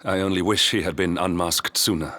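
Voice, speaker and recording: An actor playing a stern, loyal knight in a game cutscene, speaking formally and humbly. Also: male voice, sits low, steady tone, a little fast, bright, forceful tone, big-room sound. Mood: humble, regretful. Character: dutiful, stern knight loyal to his king. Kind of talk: scripted game cutscene acting.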